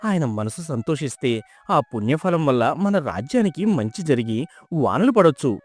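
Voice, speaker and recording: Guy playing poor honest village husband in a folk story, very expressive pitch swings, music behind. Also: moderate pace. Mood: earnest, humble. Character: poor, pious, honest village husband, humble and wonder-struck. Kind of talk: dramatised folk tale storytelling.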